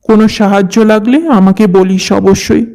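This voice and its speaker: Man acting smooth high-pitched young professional. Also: fairly even intonation, normal speed, a little mellow. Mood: friendly, helpful. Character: friendly, helpful young engineer and persuasive businessman. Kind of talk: scripted cartoon dialogue.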